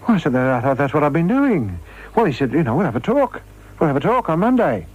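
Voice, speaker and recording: An older Australian man telling anecdotes in a radio interview, halting as he recalls them. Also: fairly high pitch, big pitch swings, a little mellow, slightly rough. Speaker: former radio comedy performer, interviewee. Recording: slightly dull archive radio interview.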